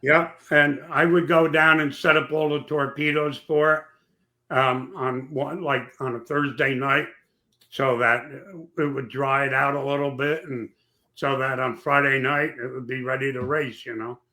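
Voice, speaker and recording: Raspy-voiced man chatting folksy and bright. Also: medium pitch, level tone, a little slow. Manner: rambling, folksy, with many fillers. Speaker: podcast guest, former track owner. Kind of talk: casual chat.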